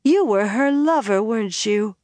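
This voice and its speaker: A woman voicing a tipsy, proud young noblewoman who turns tearful, in a high, pleading voice. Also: lively intonation, normal speed, a little mellow, no echo, lightly acted. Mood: accusing.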